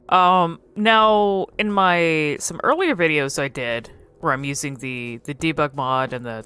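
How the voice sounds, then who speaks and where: A woman giving casual game commentary as a video creator, thinking aloud in a halting, musing way. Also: low-pitched, fairly bright tone, clean tone.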